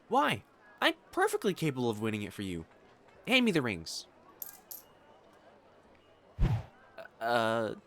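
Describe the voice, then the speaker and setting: An actor playing a proud, secretly smitten young noble matchmaker in a romantic audio drama, with haughty formal diction that turns to stammering when flustered. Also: male voice, a little high, bright, forceful tone, full character voice. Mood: flustered, defensive. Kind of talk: acted romantic audio drama monologue to the listener.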